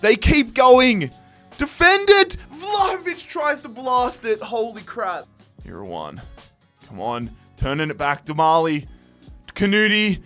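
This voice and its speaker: A young man, commentating his football game live, shouting at chances, his voice going hoarse. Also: sits high, sing-song, a little slow, faint music behind. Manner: shouts and groans at every chance. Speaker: gaming YouTuber.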